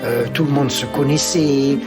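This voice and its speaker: A man's voice: medium pitch, fairly even intonation, a little slow, loud music behind the voice. A man in his seventies, born in Algeria, speaking with a Pied-Noir French accent and recalling his childhood with many hesitations and restarts. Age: 70s. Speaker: interviewee. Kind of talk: personal reminiscence.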